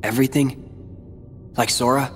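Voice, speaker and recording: A man's voice: medium pitch, steady tone, moderate pace, long reverb tail, a little hiss or hum, lightly acted. A young American man playing a brooding, stubborn teenage boy warrior in a video game cutscene, with a terse delivery. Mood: guarded, resolute.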